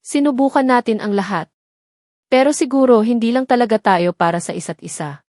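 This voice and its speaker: A woman voicing a sorrowful husband, halting with guilt, in a narrated drama story. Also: a little high, a little mellow, no room sound, studio quality, lightly acted. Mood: grieving, apologetic. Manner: halting, grief-laden. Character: sorrowful husband, torn by guilt and family duty.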